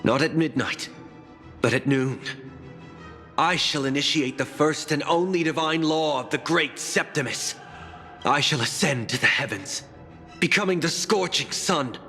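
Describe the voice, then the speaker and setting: A man's voice: fairly high pitch, measured pace, pushed and bright, soft background music. An actor voicing a proud, zealous would-be god-king in a video game, declaiming in a grandiose way. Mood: exalted, fervent. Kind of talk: dramatic video game voice acting.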